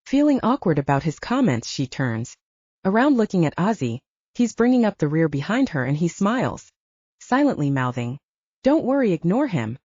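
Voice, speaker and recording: A woman narrates dramatic fiction with a mellow, lowish voice. Her pitch moves around a lot as she tells the story. The sound is dry and slightly muffled. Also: sing-song, moderate pace, no room sound. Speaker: audiobook narrator.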